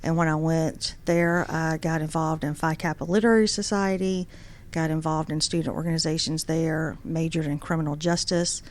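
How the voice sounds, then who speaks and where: Woman in her forties, guest on a radio talk show, low-set voice, earnest and friendly storyteller. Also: little pitch movement, moderate pace. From Georgia, USA. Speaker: guest, superior court judge. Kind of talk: casual interview.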